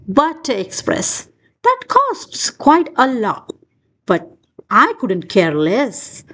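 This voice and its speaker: A woman reads a children's storybook aloud with a high voice. Her delivery is expressive and sing-song, swooping widely in pitch. She takes the rhymes slowly, giving each phrase room. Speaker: children's book reader.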